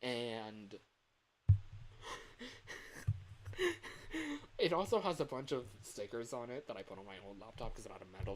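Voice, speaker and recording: A man's voice: on the low side, rough recording. Young man rambling casually, faint background noise. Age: young adult. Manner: rambling, halting, self-correcting mid-sentence. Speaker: livestreamer. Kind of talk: casual chat with stream viewers.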